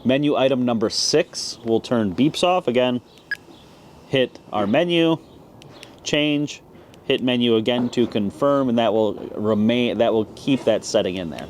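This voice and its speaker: A man's voice: medium pitch, a little slow. A man giving a casual, plain-spoken, step-by-step product tutorial as a video host. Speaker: ham radio video host. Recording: clear background noise.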